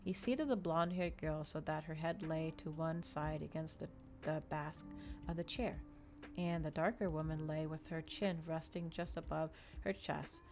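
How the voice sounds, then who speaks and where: A woman doing a soft ASMR reading of a story, with measured, expressive storytelling, as a streamer reading aloud to her listeners. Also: low-pitched, over music.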